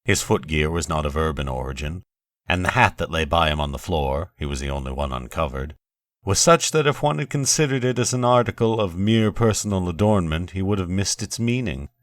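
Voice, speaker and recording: Very deep-voiced man narrating horror. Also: expressive, no room sound, clean studio sound. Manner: deliberate, theatrical, literary delivery. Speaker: narrator and host of a horror story show. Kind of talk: theatrical scripted horror narration.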